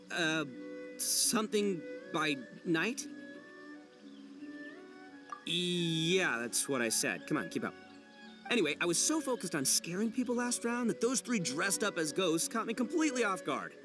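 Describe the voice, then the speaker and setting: An actor playing a brash, overconfident, good-natured but dim young male gang boss in a video game dub, boisterous and slangy. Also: sits high.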